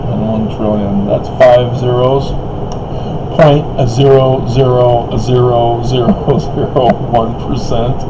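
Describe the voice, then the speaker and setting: A man's voice: medium pitch, muffled recording, very noisy. A man reading an article aloud as a video commentator, breaking into it with derisive, mocking asides.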